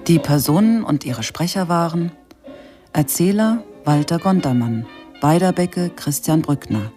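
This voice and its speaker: A woman's voice: sits low, expressive, unhurried, music in the background. A woman announcer formally reading out scripted radio drama credits.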